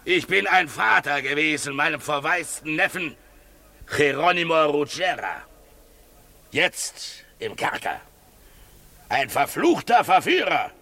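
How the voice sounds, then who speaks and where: An actor playing a merciless, self-righteous shoemaker who preaches vengefully and declamatorily in a 1950s radio drama. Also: male voice, fairly high pitch, big pitch swings, slow pace, vocal fry, bright, forceful tone, heavily acted. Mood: proud, vengeful.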